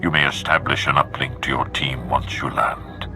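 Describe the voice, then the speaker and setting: Very deep, solemn Sith warlord. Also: male voice, fairly even intonation, music in the background. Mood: stern warning. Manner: solemn, deliberate, formal. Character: grave, commanding Sith lord, warlord of a dark empire. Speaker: military leader giving a mission briefing. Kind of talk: video game cutscene acting.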